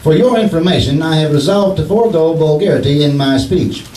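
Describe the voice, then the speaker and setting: A man's voice: a little high, brisk, mellow, natural voice. Blustery folksy husband played by a man, slangy and mock-pompous, old-time radio comedy, distant mic in a roomy hall. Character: blustery, cocky, folksy husband, bad at grammar. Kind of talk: 1940s radio comedy acting. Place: theater stage before an audience. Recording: echoey live stage, distant mic, noise.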